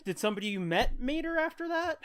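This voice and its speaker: A man's voice: extremely high pitch, sing-song, fast talker, fairly bright tone, dry recording. An American man co-hosting a podcast, telling stories casually in an irreverent, profane, joking way. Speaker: podcast co-host. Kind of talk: casual chat, storytelling.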